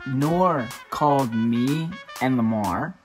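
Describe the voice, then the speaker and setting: A man's voice: medium pitch, slow pace, darkish tone, soft background music. A young man talking in a scheming, conspiratorial way over a video call.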